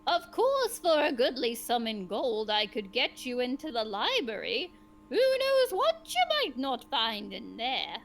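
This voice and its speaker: Casting: woman to play a pompous, grumpy scholar, middle-aged man, sharp high voice with big swoops, storybook style. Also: very animated, unhurried, creaky, a little hiss or hum, full character voice. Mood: sly, bargaining. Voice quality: wavering, sharp voice.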